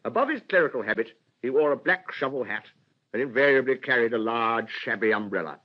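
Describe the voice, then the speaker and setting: An actor playing a genteel storyteller, narrating a radio drama with a British accent in a formal, deliberate, literary manner. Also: male voice, medium pitch, expressive, lightly acted. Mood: measured, reflective. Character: genteel, measured storyteller recalling an old friend. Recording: dull, muffled radio recording.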